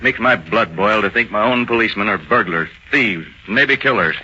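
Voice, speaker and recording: An actor playing a stern, indignant police chief, gruff and emphatic, in an old-time radio crime drama. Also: male voice, on the low side, animated, normal speed, bright, forceful tone. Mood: grim. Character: stern, indignant big-city police chief, adult man. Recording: muffled, noisy vintage radio recording.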